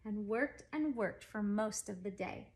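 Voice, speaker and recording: A woman reads a picture book aloud to children in an animated, emphatic way. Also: mid-range voice, unhurried. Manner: animated, emphatic phrasing for young listeners. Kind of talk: expressive children's story read-aloud. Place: living room at home.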